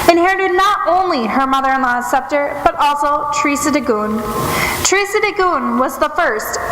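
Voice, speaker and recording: A poised woman detective, played by an actress narrating in old-time radio fashion. Her high voice is deliberate and theatrical, carried through a noisy hall with long reverb. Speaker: storyteller detective. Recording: echoey live theater stage, noisy.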